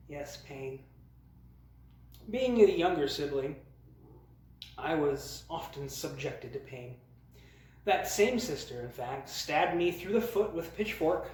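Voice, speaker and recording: A man telling humorous personal stories as a host, in a theatrical, mock-grand way with dramatic pauses. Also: on the high side, slight background noise. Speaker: storyteller, host of a storytime series. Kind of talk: humorous personal storytelling to camera. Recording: very echoey, roomy sound.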